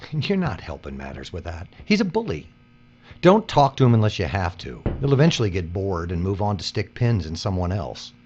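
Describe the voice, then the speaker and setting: An actor playing a weary, sardonic veteran worker, blunt and gruff, in a dystopian audio drama. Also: male voice, sits low, sing-song, normal speed, a little mellow, soft background music. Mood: wry, weary. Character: weary, cynical male veteran worker mentoring a younger one. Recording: dull audio with faint mains hum.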